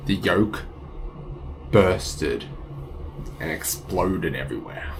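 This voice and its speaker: A man's voice: low voice, slow pace. A man filming his own vlog in city streets and a restaurant, talking casually to the camera with blunt swearing. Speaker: travel vlogger. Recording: street noise on camera mic.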